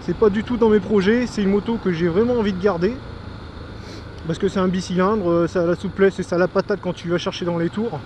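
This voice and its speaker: A man's voice: sits high, fairly even intonation, brisk, darkish tone. A man giving enthusiastic, informal vlog commentary, thinking aloud as he rides a motorcycle. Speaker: motovlogger. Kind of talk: casual vlog commentary while riding a motorcycle. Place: outdoors on a moving motorcycle on country roads. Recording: noisy outdoor recording with engine and wind.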